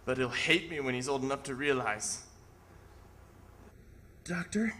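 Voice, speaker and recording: A man's voice: medium pitch, unhurried, bright, forceful tone, a little hiss or hum. An actor playing a tearful, awestruck new father, stammering and repeating himself in old-time radio theatre. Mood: tearful astonishment.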